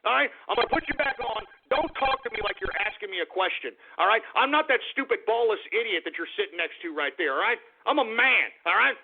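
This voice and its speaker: Ranting high-voiced male radio host. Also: expressive, fast talker, creaky, few pauses, breathy edge. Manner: abrasive, ranting, insulting callers. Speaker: radio talk show host. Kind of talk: call-in radio talk show. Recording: phone-like narrow band.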